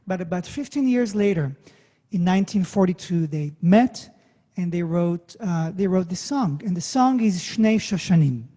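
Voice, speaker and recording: A man from Israel casually introducing songs as a musician, telling stories in halting, thoughtful phrases with pauses. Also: high voice, animated, dark tone. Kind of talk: casual concert introduction, storytelling. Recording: long reverberant room sound.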